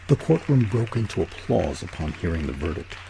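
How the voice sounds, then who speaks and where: A man narrating a scripted history documentary as its host in a measured, solemn, deliberate manner. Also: sits low, sing-song, gravelly, a crowd in the background, noisy background. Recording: narration over a music bed. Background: music.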